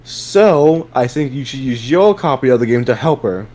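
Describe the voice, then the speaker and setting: A man's voice: medium pitch, expressive, moderate pace, darkish tone. Man reading dialogue in a casual voice. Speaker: reader in a group dramatic reading.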